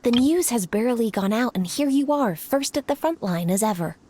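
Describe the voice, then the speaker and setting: A woman's voice: on the high side, expressive, dark tone. Composed, authoritative lady commander. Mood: approving, familiar. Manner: measured, formal, businesslike. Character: composed, authoritative noblewoman commander, strategic and precise. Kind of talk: video game voice acting.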